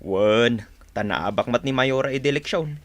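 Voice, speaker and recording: A man's voice: medium pitch, moderate pace, close to an everyday voice. A man acting in an Ilocano radio drama as a village man passing on news, with an Ilocano accent. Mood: informative.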